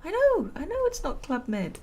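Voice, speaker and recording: A woman commentating a building game at a relaxed pace, chatty and self-amused, and she is losing her voice. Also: very high-pitched, normal speed, a little hiss or hum. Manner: chatty, self-amused, laughs at own jokes. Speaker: gameplay commentator. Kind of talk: relaxed let's-play commentary.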